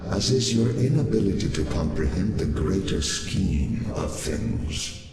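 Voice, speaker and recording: A man voicing an ancient, sinister servant of a dark power in a game, speaking in a grandiose, condescending manner. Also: low voice, lively intonation, unhurried. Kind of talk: theatrical villain monologue in a game. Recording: long cavernous reverb, faint music.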